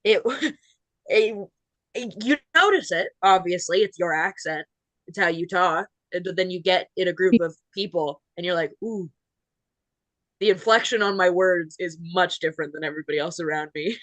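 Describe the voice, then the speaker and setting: Guest: prairie-accented Canadian woman, mid-pitched, dry close sound, easygoing. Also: normal speed, fairly bright tone, no room sound, poor audio. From Saskatchewan, Canada. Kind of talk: casual chat.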